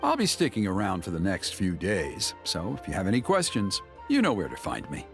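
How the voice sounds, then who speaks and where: Older game elder: lowish, lively intonation, humbly ingratiating and persuasive. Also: male voice, fairly quick, soft background music, heavily acted. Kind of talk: video game voice acting.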